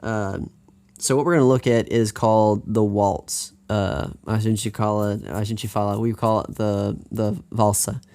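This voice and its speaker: A man's voice: fairly low pitch, fairly even intonation, measured pace, a little mellow, smooth. An American man teaching a live guitar lesson, talking in an upbeat, chatty way that wanders and restarts.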